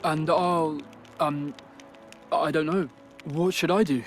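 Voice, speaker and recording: A man's voice: a little high, fairly even intonation, slow, soft background music. A man playing a curious, eager, slightly unsure youngster in a video game cutscene.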